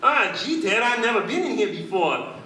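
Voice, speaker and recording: A man's voice: high voice, very animated, moderate pace, bright, forceful tone, heavily acted. A man voicing a timid, dim-witted henchman in a comic radio play, hesitant and whining. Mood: naive, eager. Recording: echoey hall, far mic, faint noise.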